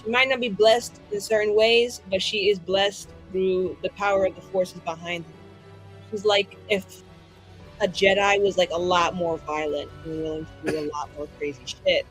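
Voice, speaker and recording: A woman's voice: mid-range voice, steady tone, normal speed, soft background music, low-quality recording. A woman player in a tabletop roleplaying show, casually introducing things in an exuberant, sassy, slangy way.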